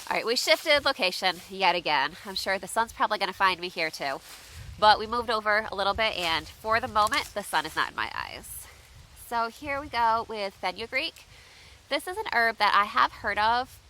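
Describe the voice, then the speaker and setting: A woman with a bright, forward voice chats to her viewers outdoors, a little stuffy and nasal from allergies. She talks easily and keeps asking viewers for their tips. A faint hiss sits under the recording. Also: medium pitch, lively intonation, moderate pace, low-quality recording. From United States. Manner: chatty, asks viewers for tips. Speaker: homestead vlogger. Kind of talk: casual unboxing chat to viewers. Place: outdoors under shifting trees.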